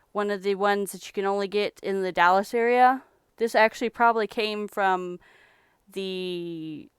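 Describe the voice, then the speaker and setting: Young woman, casual live-stream chat, rambling, very clear voice, dry close sound. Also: medium pitch, no room sound. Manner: chatty, rambling, relaxed. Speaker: streamer reselling thrift finds.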